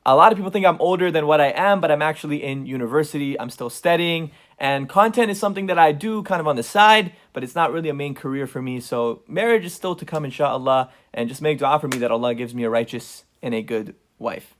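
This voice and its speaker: A man's voice: fairly high pitch, fast, few pauses. A man, answering viewer questions in a casual vlog from his home basement. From United States. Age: 20s. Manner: animated, addresses viewers as "guys" and "bro". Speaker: content creator. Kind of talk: casual Q&A vlog talk to camera.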